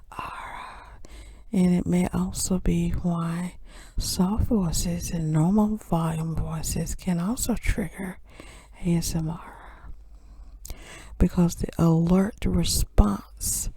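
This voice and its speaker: A woman who runs a soft-spoken ASMR channel, teaching in a gentle whisper and repeating key phrases slowly. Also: lowish voice, husky.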